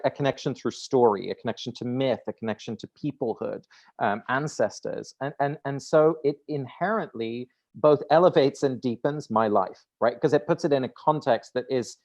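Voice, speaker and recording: A man's voice: medium pitch, a little mellow. Dutch man musing with many restarts. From Netherlands. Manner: thoughtful, many restarts and repeated words. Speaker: guest author. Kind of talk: conversational interview.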